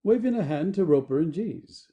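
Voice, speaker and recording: A man reading the stage directions of an audio play as expressive scripted narration. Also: a little high, normal speed, dull audio.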